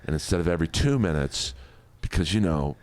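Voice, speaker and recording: A man's voice: sits low, expressive, brisk, darkish tone. A man telling comic stories casually as a podcast guest, rambling and digressing like an avid wrestling fan.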